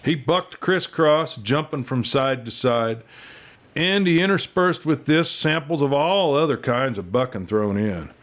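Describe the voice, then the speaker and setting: A man reading a western novel aloud as an expressive, folksy storyteller. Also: medium pitch, unhurried. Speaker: audiobook reader and storyteller.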